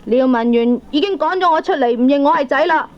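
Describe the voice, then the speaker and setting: A cheeky young good-for-nothing son acted by a woman with a very high voice. He is pushy, shameless and slangy in Hong Kong Cantonese. It is comic radio drama on a dull old cassette. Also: a little fast, lightly acted. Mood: brazen, teasing. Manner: brazen, slangy wheedling. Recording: dull 1980s audio cassette recording.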